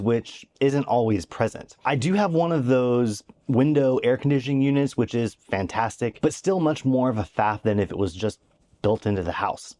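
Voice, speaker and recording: A man's voice: medium pitch, mellow. A middle-aged man from Oklahoma with an American accent, narrating a vlog in a matter-of-fact way with dry, wry asides. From Oklahoma, USA. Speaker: vlogger.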